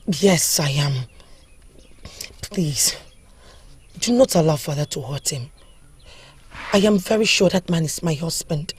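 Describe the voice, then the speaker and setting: A woman's voice: sits low, measured pace, long pauses, husky, exaggerated voice. An actress playing a young princess who pleads emotionally for her beloved. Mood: pleading. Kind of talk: film drama acting.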